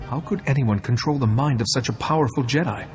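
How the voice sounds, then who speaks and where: Male actor as young Jedi apprentice, resolute, measured, earnest, medium pitch, game dialogue. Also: faint music behind, close to an everyday voice. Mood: composed.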